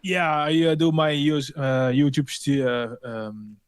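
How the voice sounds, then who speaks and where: A man with a Dutch accent, a guest studio engineer speaking casually, halting with fillers. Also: mid-range voice, clear, resonant tone, no echo. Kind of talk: casual chat.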